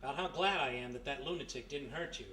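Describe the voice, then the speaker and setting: An actor playing a gruff, wry former thief turned private detective in a 1930s-style radio mystery. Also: male voice, mid-range voice, fast, sharp tone, natural voice. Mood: tender, wry. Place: live performance in a historic house. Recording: roomy live stage recording, distant mic, faint noise.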